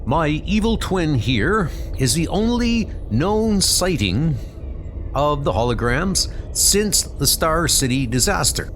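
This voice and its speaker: A man's voice: a little high, big pitch swings, takes it slowly, a little hiss or hum, voice pushed up. A man voicing a proud, matter-of-fact undersea king superhero in a comic book dub, serious and dry in delivery. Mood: dry reporting. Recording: music bed under the voice.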